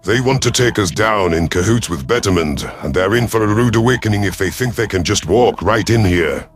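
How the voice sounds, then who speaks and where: A man voicing a gruff, proud alien chief hunter who commands a fleet, growling and impatient, in a first-person sci-fi audio drama. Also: natural voice. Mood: commanding, defiant. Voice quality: low, growling.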